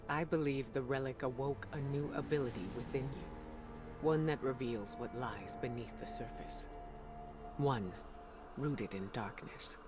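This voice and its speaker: A man voicing a thoughtful, serious mentor in a video game, giving mission briefings in a measured, reflective way. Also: medium pitch, close to an everyday voice. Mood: grave, intent. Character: grave, thoughtful male mentor directing an investigation. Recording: music underneath.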